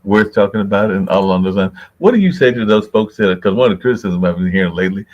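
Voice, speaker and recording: A man's voice: lowish voice, fast, darkish tone, muffled recording, slight background noise. An older man hosting a radio talk show, who asks long, rambling questions and keeps saying "and everything". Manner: rambling, conversational, repeats "and everything".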